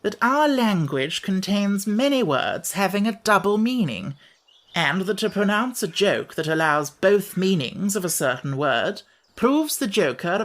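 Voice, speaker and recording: A man's voice: high voice, a bit bright, voice pushed up, exaggerated voice. A man voicing a pompous, over-educated male insect who lectures stiffly and firmly.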